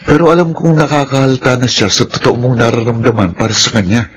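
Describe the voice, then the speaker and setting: A man's voice: mid-range voice, steady tone, fast, husky, natural voice. An actor with a Filipino accent playing a confident young man, a pushy, encouraging friend and love mentor, in a radio drama. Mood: concerned. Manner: urging, confident.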